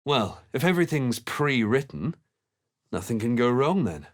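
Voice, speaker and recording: A man playing a composed, brooding fantasy adventurer in a video game, telling events in a measured, dramatic way. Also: mid-range voice, very animated, no room sound, studio quality, natural voice. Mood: musing. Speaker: player character voice. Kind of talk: scripted game narration and character lines.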